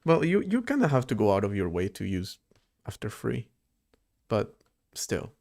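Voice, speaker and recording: A man's voice: fairly low pitch, wildly swooping pitch, moderate pace, vocal fry, a little mellow. A man streaming live while he programs, thinking aloud in a loose, rambling way and answering his chat as he goes. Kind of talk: casual livestream chat while coding.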